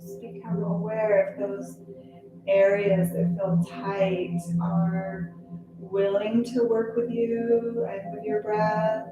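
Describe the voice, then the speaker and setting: A woman guiding a meditation as a yoga nidra instructor, speaking gently and leaving unhurried pauses between phrases. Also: mid-range voice, little pitch movement, muffled recording. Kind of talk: guided meditation. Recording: echoey, distant sound with loud music. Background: loud music.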